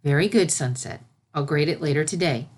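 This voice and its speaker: A woman voicing a regal pony princess in a storybook reading, a gentle, patient mentor with a measured, formal way of speaking. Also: deep voice. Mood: warm, pleased.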